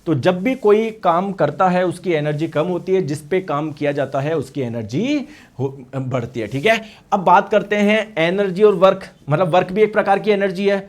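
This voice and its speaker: A male science teacher with a hoarse voice, lecturing an online exam-prep class. He asks questions and answers them himself, and he repeats each term in Hindi and English. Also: high voice, moderate pace. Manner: addresses students as friends.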